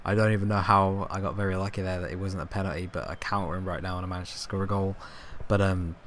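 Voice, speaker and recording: Hoarse young man rambling over gameplay. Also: low-pitched, flat delivery, quick pace, slight background noise. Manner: rambling, filler-heavy, self-correcting. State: hoarse, coughing, voice going. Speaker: gaming YouTuber. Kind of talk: casual gameplay commentary.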